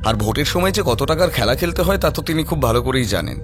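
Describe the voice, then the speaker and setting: A man's voice: mid-range voice, expressive, fast, music in the background. A man narrating a scripted horror audio story in a storytelling style.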